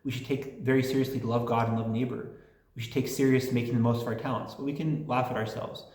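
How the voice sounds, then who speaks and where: A man's voice: medium pitch, flat delivery, fast, darkish tone, reverberant. A man hosting his own channel, reading listener letters aloud and answering them in a rambling, chatty way with many restarts. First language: English and Polish. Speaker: channel host answering fan mail.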